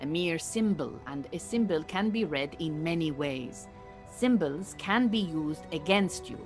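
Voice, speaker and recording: Low-voiced, proud Iranian woman. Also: animated, a little slow, soft background music. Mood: stern. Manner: formal, dry, exacting phrasing. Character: proud, precise, disillusioned Iranian refugee woman beekeeper. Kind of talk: naturalistic audio drama acting.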